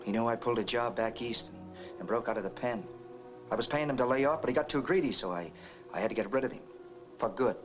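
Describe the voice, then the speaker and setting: A man acting a cold-blooded noir gangster who gives curt orders in tough slang. The voice sits at a medium pitch, on a dull 1940s film soundtrack with music clearly behind it. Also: quick pace, lightly acted. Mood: menacing. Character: cold, menacing male killer and escaped convict in crime film.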